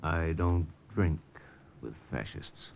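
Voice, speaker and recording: An actor playing a tough, bitter American wartime agent, narrating and talking in terse, cynical old-time radio style. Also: male voice, a bass voice, lively intonation, slow pace, gravelly, highs cut off, heavy background noise, heavily acted. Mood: hard, threatening. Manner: hard-boiled, terse, cynical. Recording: vintage radio broadcast with loud music behind.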